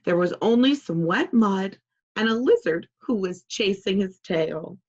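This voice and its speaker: A woman voicing a friendly frog who calmly and patiently tells a story of his childhood. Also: medium pitch, measured pace, breathy edge, lo-fi sound. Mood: reminiscing.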